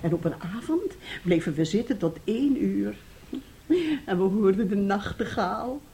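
Chatty, swooping sentimental aunt. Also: female voice, mid-range voice, animated, moderate pace, vocal fry, warm tone. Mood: wistful, flustered. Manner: rambling, flustered, exclaiming. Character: chatty, sentimental aunt reminiscing about old romance. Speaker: actress in a radio play. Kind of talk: Dutch radio drama acting.

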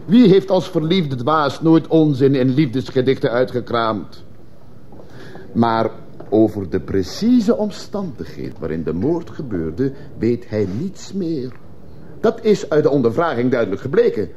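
An actor playing a scornful, rhetorical defence lawyer pleading dismissively in a radio courtroom drama. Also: male voice, medium pitch, sing-song, a little slow, warm tone, highs cut off. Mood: persuasive. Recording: faintly noisy old radio recording.

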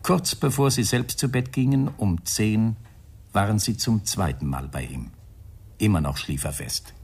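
A man's voice: on the low side, a little mellow, husky. An actor playing a probing, irritable male police inspector in a radio crime drama, weary, sardonic and insistent. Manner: measured, insistent questioning, grumbling.

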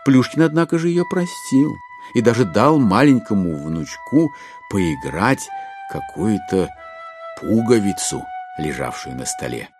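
A man reads literary prose as a dramatic narrator. His voice is very low, and his intonation swings widely with theatrical expression. Instrumental music plays clearly behind the studio-recorded narration. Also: very animated, a little slow.

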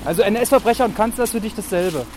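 A man's voice: high-pitched, fast talker. Male vox-pop reporter, direct and persistent, recorded outside on a street with light background noise. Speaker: street interviewer. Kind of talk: informal vox-pop questioning. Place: outdoors on a residential street.

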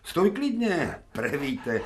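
Bossy gruff professor, kindly underneath, fairly high voice that swings around, noisy radio play. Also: male voice, big pitch swings. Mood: gruff scolding. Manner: commanding, pompous pronouncements. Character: authoritative male professor of medicine, gruff yet kindly, opinionated. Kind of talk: radio drama acting.

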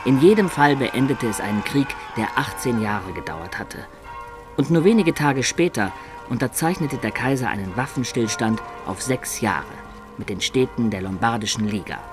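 A cunning adventurer in a radio play, acted by a man looks back on his own life. His voice is mid-pitched and reflective, a storyteller's delivery. Music is clearly heard behind it. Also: lively intonation, normal speed, lightly acted. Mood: measured recounting. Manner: reflective first-person storytelling. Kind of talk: radio drama narration.

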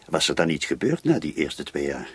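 An actor playing a persistent police commissioner in a radio play, questioning formally in a measured, husky way. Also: male voice, rumbling low, big pitch swings, fairly bright tone, no echo, exaggerated voice. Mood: probing.

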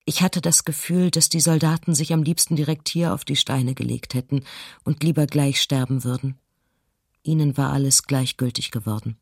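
An actress playing a sober, reflective woman writer of thirty-seven, reading her diary in a radio docudrama with a husky voice. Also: a bass voice, normal speed, no room sound, clean studio sound, exaggerated voice. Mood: pitying. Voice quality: husky, creaky.

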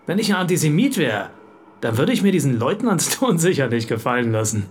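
A man's voice: medium pitch, big pitch swings, brisk, slight background noise, close to an everyday voice. An actor playing a drunken, middle-aged German Nazi businessman, sentimental and boastful, in a radio drama. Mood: insistent, boozy. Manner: tipsy, chummy, declamatory.

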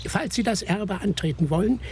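An actor playing a dignified elderly notary in a radio play, formal, pompous and officious. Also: male voice, high-pitched, normal speed, slight background noise, lightly acted. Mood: formal, businesslike. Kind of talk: German radio play acting.

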